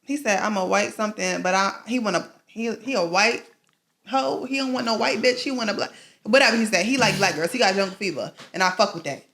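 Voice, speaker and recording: A young woman rapper from Queens with a raspy voice, talking loudly and playfully. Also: mid-range voice, fairly quick. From Queens, New York, USA. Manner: loud, playful, swaggering. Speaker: rapper guest. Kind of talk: casual interview chat.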